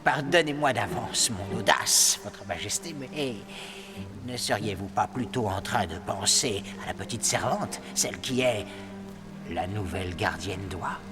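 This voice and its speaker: A man's voice: on the low side, expressive, a little slow, big-room sound, music in the background. A man voicing a formal, hesitant, deferential royal adviser in a French cartoon. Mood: tactful.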